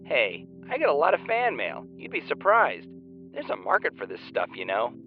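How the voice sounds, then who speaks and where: A man's voice: mid-range voice, wildly swooping pitch, normal speed, faint music behind. A man playing a nervy, indignant superfan and fan fiction writer on a phone call in an audio drama. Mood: defensive. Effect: phone line filter. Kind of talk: naturalistic audio drama dialogue. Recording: tinny narrow phone line.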